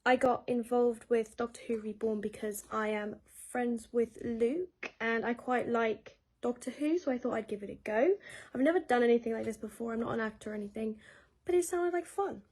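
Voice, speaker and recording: A woman's voice: fairly high pitch, normal speed, darkish tone. A woman talking casually in an interview as an amateur cast member.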